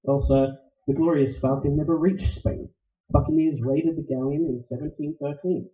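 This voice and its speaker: An actor playing a suave, scheming, treasure-obsessed villain in old radio style, speaking in a formal, unctuous, wordy manner. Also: male voice, fairly high pitch, steady tone, normal speed, natural voice. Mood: smooth, grandiose. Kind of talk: 1940s radio acting. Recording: dull lo-fi sound in echoey room.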